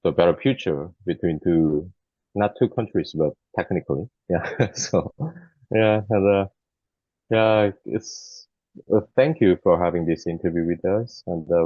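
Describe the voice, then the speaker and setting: A man's voice: sits low, no room sound. A man interviewing a filmmaker, introducing himself hesitantly with many fillers. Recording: tinny, phone-like, dry.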